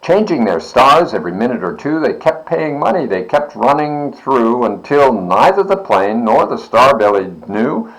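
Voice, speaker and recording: Male storybook reader, medium pitch with wide swings, dramatic rhythmic verse, takes his time. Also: very animated, normal speed, hardly any pauses, fairly bright tone, low-quality recording. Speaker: library board member reading aloud. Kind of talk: expressive children's storybook reading.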